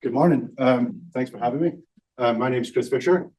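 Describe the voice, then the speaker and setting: A Scottish man in his forties, a startup founder giving a live pitch with lots of ums and self-deprecating asides. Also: normal speed, a little mellow, distant mic, rough recording. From Scotland. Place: event stage.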